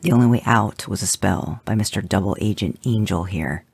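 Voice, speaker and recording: A man reading fan fiction, voicing a brash, impatient male monster hunter in a gruff, hurried manner. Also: fairly low pitch, dry recording, pitched below the performer's own voice.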